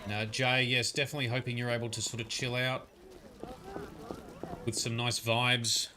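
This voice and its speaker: A man's voice: medium pitch, flat delivery, moderate pace, noisy background. A young man casually narrating a game on a livestream, chatting with viewers and answering their comments. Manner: chatty, reads and answers chat comments, frequent "um"s.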